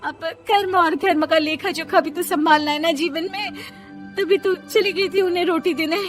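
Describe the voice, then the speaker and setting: A woman's voice: extremely high pitch, fairly even intonation, normal speed, faint music behind, voice pushed up. A woman voicing a forgetful, naive young daughter-in-law in a whiny, chatty, pleading manner for a comic story. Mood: anxious, apologetic.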